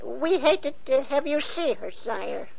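An actress playing a harsh, scheming mother of three daughters, stammering and sharp-tongued, in a 1940s radio play. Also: high voice, animated, takes it slowly, vocal fry, exaggerated voice. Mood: flustered. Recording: muffled old radio broadcast.

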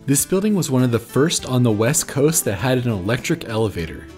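A man in his thirties reads a scripted travel narration at a middle pitch, with faint guitar music under him. He explains and recommends plainly, like a guide talking to viewers. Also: sing-song, a little fast, a little mellow. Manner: informative, recommending tips to viewers. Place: voice-over recorded apart from footage.

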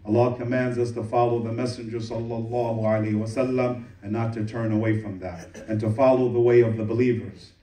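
Man, imam delivering a sermon, fast steady flat delivery, low mellow voice, echoey distant sound. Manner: recites Arabic verses quickly then translates into English. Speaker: imam giving Friday sermon. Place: mosque prayer hall.

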